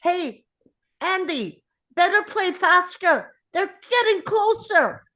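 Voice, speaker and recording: A woman playing an excitable young kid adventurer in a film script table read, speaking emphatically and urgently. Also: very high voice, slow pace, full character voice, rough recording. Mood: panicked.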